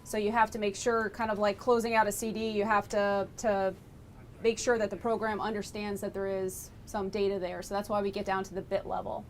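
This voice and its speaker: A woman's voice: level tone, fairly quick. Woman giving technical briefing in noisy room. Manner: explanatory, conversational. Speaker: lab engineer presenting flight recorder analysis. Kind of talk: informal technical briefing with questions. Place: laboratory tour, indoors. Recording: noisy room with low rumble.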